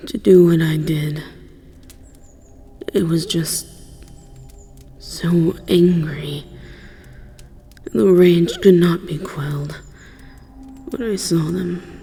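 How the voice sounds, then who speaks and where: A performer voicing a remorseful dragon in a dramatic audio roleplay, speaking in a breathy, husky voice, haltingly and solemnly. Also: medium pitch, warm tone, exaggerated voice. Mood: anguished, regretful. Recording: long cavernous reverb.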